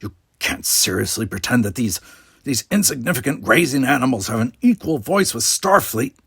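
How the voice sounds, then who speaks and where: A man's voice: mid-range voice, animated, normal speed, fairly bright tone, husky, no room sound, studio quality, heavily acted. A man voicing a proud, hostile male alien military leader in an audiobook, speaking in a heated, formal, haughty way. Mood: contemptuous.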